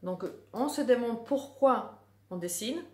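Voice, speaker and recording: A woman's voice: fairly high pitch, lively intonation, measured pace. A middle-aged woman, a drawing teacher, talking casually to the camera and earnestly stressing her points by repeating words. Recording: echoey room.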